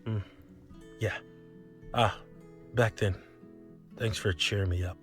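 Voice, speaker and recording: A young male love interest in a dating game. He is a calm, earnest programmer with a low voice, speaking gently and with composure over music. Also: takes it slowly. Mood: gentle, sincere. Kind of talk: otome game voice acting.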